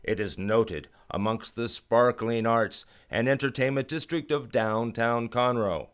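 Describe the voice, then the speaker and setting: A man's voice: mid-range voice, unhurried. Theatrical old-time radio announcer man. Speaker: radio show host and announcer. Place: radio studio.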